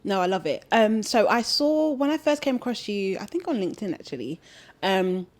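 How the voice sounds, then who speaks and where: A woman hosts a wellness podcast in a warm, encouraging way. Her middle-pitched voice sounds friendly as she welcomes her guest and leads the chat. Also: big pitch swings, normal speed. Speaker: podcast host. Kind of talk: friendly interview hosting.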